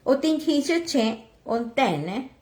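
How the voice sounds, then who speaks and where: A woman from Oaxaca whose first language is Chatino, slowly reading scripture and preaching, with halting restarts and self-corrections as she translates. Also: high-pitched, very animated, darkish tone, reverberant. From Oaxaca, Mexico. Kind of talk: slow Bible reading and sermon, translated into Chatino.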